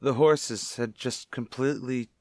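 A man acts a widowed father in an old-fashioned radio drama. He sounds anguished, self-pitying and theatrical, in a mid-pitched voice. The sound is close and dry. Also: steady tone, a little slow, no room sound, close to an everyday voice. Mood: grieving, evasive. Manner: melodramatic, distraught. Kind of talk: old-time radio play acting.

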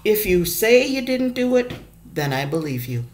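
A woman voicing a gentle, patient grandmother figure in a storybook read-aloud, soft and reassuring. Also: medium pitch, big pitch swings, normal speed, pitched above the performer's own voice, natural voice. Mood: tender.

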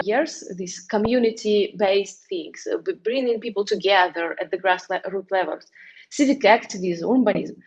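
Casting: female activist speaker, Belarusian accent, mid-range voice, earnest and halting, dull video-call sound. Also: mid-range voice, darkish tone. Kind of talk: online conference presentation. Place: small indoor room, on a video call. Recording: muffled, lo-fi video call audio.

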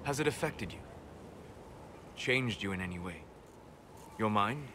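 Measured, scholarly elven mage, noisy ambience. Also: male voice, on the low side, animated. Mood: earnest, thoughtful. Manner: measured, deliberate, formal phrasing with pauses. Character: calm, scholarly, reserved elven mage, admiring yet condescending. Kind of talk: video game dialogue acting.

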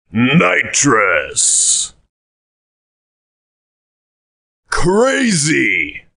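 An excited arcade racing announcer. His calls are short and punchy, very spaced out, with a hugely animated pitch. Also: vocal fry, exaggerated voice. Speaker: game announcer.

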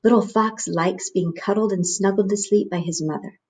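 A woman voicing a gentle, patient mother polar bear in a storybook, soft, soothing and unhurried. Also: mid-range voice, steady tone, husky, natural voice. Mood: tender.

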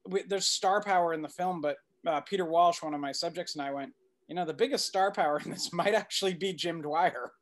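A middle-aged man talking casually as an interview guest, halting and repeating words as he goes. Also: fairly high pitch. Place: indoor room, video call.

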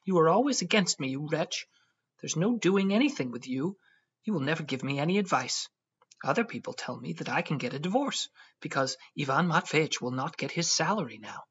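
A man's voice: on the high side, expressive, normal speed, a bit bright, husky, muffled recording, pitched above the performer's own voice. A man playing a petulant, flighty young wife. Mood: cross. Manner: raised, whiny, petulant delivery.

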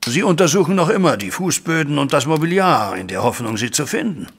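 Stern troubled banker father, middle-aged, gravelly edge, animated pitch, formal radio play delivery. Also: fairly quick, slight background noise. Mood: earnest. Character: stern, distressed wealthy banker, father figure, stubborn. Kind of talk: audio drama acting.

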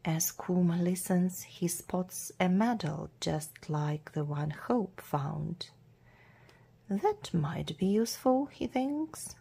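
A woman reading a children's adventure storybook aloud as a narrator, in a measured, deliberate way with hushed word endings. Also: fairly low pitch, expressive, gravelly, husky. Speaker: storybook reader.

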